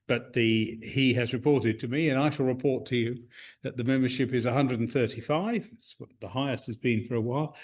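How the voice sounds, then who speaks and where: A man at a middle pitch chairs a club meeting in a meeting room. His voice is loud, and he stops and restarts often with plenty of uhs. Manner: hesitant, many uhs and restarts.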